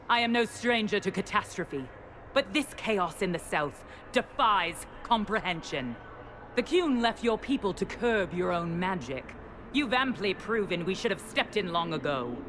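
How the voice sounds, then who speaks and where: An actor playing a stern, zealous Qunari commander in a video game, speaking in formal, declamatory phrases with cold contempt. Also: male voice, high voice, a bit bright, a little hiss or hum. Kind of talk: dramatic video game acting.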